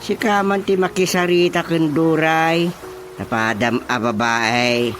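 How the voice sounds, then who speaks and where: A woman's voice: low voice, measured pace, soft background music. An actress playing a village chairman in a radio comedy drama, exclaiming and incredulous. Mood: exasperated.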